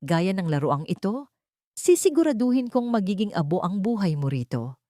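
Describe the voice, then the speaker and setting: Lady doing a cruel older schemer from an audio drama, plays the tearful victim, swingy pitch, dry sound. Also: sing-song, moderate pace, mellow, no echo, close to an everyday voice. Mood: venomous. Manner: venomous, then falsely tearful and pleading.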